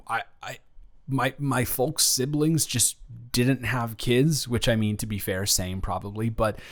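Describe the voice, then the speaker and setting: A man's voice: mid-range voice, close to an everyday voice. A young American man playing a chatty, awkward best friend in an improvised audio roleplay, talking casually and often interrupting himself. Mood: awkward, candid. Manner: rambling, halting, self-interrupting. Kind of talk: improvised boyfriend-style audio roleplay, casual one-sided chat.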